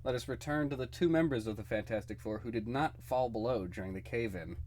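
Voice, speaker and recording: A man's voice: mid-range voice, brisk, darkish tone, slight background noise. A man narrating a comic book dramatically, with a theatrical, suspenseful delivery.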